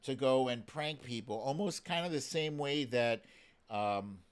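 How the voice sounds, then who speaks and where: A man's voice: medium pitch, a little slow, clean tone. A man hosting a casual live stream, chatting playfully with exclamations as he addresses his viewers.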